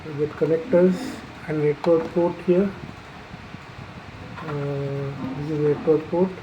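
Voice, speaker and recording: A person giving an unscripted, explanatory product demonstration in a halting, matter-of-fact way, pausing mid-phrase. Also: medium pitch, fairly even intonation, lo-fi sound, noisy background. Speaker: installer presenting a product tutorial.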